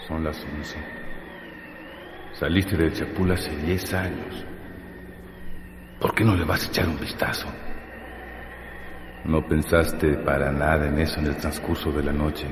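An actor playing a grave, accusing inner voice in a radio drama, solemn and insistent. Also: male voice, rumbling low, little pitch movement, unhurried, long pauses. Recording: echoey reverberant space, background noise.

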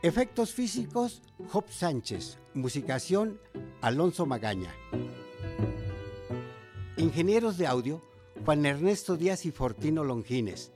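A man's voice: a little high, very animated, a little slow, long pauses. A man reading a scripted radio announcement as a credits announcer. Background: music.

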